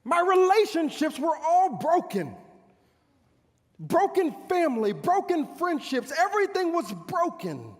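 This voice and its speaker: A proud, bitter military commander of old , played by a man declaims to an audience in a theatrical monologue. His delivery is pompous and very animated, broken by dramatic pauses. The voice rings through a big hall with long reverb. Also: extremely high pitch, full character voice. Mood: anguished. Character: proud, boastful male army commander of ancient Israel's era, bitter. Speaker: pastor performing a monologue. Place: large church hall stage.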